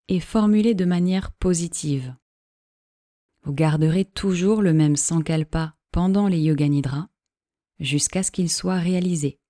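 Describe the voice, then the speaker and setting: Female meditation guide, calm and measured, mellow tone, close dry sound, soothing instructional delivery. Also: deep voice, no echo. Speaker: yoga nidra teacher.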